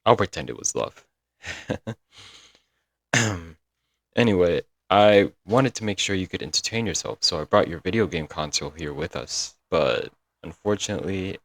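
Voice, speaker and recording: A man's voice: low-pitched, fairly bright tone, dry recording. A man playing a doting, apologetic young yandere kidnapper in a comedic roleplay audio drama, coaxing and rambling intimately to the listener. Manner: coaxing, rambling, pet names, nervous chuckles.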